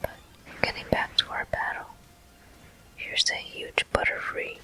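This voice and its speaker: A person whispering ASMR game commentary in a deliberate manner, pausing between short phrases. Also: pushed and bright, rough recording. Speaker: gameplay host.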